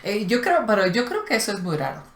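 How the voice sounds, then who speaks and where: A woman's voice: mid-range voice, sing-song, quick pace, slight background noise. A woman speaking, co-hosting a casual conversation; she talks thoughtfully and hesitates often. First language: Slovak. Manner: thoughtful, hesitant, many fillers. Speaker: co-host. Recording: echoey room.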